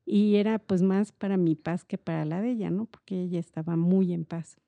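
A woman's voice: on the low side, steady tone, moderate pace, vocal fry, no room sound, phone-quality sound. A middle-aged woman with a Mexican accent, explaining things in a reflective, meandering and informal conversational way. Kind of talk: casual conversational explanation on a podcast.

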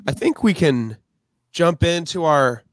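A man's voice: fairly high pitch, expressive, no echo. Casual 20s man podcast co-host, hesitant, restarts a lot, dry close sound. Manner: halting, restarts, drawn-out phrasing. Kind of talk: casual chat.

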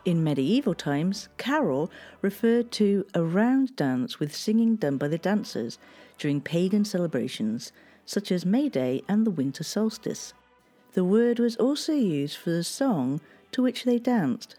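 A woman hosting a history podcast, telling past events in a measured, scripted storytelling delivery. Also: on the low side, very animated, dark tone, faint music behind.

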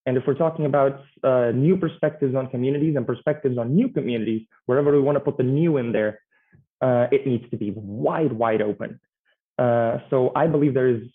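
A man talks through a panel discussion at a steady, reflective pace. His voice comes through thin and tinny, with the narrow sound of a phone line. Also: medium pitch. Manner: measured, reflective, speaks in lists.

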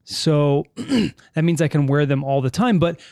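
Male podcast host, fairly high voice for a man, dry close mic, loose rambling delivery. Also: expressive, a little slow, a little mellow, no echo. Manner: rambling, self-correcting, reads chat comments aloud. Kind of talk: casual live podcast chat. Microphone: studio mic with headphones.

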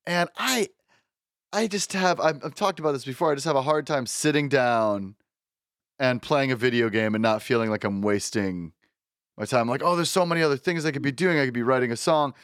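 A man's voice: mid-range voice, a little fast, fairly bright tone, clear, resonant tone, dry recording. Easygoing young man with dry close sound. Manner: easygoing, laughs often, wry humor. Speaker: podcast co-host. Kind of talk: casual chat.

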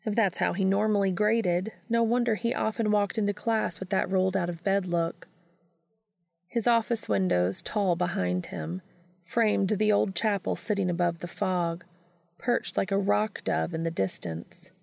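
A woman's voice: medium pitch, fairly even intonation, a little slow, vocal fry, a little mellow, no echo, clean studio sound. A woman reading a scripted story aloud as an audiobook narrator. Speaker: audiobook reader.

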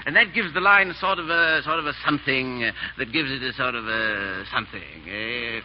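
A man's voice: mid-range voice, normal speed, natural voice. A man acting a vain, foppish English aristocrat with an affected, dithering upper-class drawl in a radio drama. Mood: silly, pleased. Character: foppish, vain English aristocrat husband playing the silly dandy. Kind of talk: 1930s-40s radio acting. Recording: old muffled radio broadcast with hiss.

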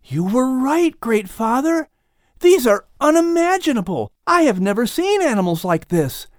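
A man voicing an eager, excitable young boy in a dramatized audiobook story. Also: very high voice, normal speed, dry recording, clean studio sound, voice pushed up. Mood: awed curiosity. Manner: pleading, breathless enthusiasm.

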